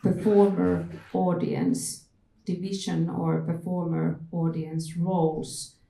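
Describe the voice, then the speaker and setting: A woman moderates a panel in an unhurried, thoughtful way. Her voice is mellow and slightly low, and the room around her is echoey. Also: little pitch movement, vocal fry. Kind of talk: thoughtful panel discussion, hesitant questioning.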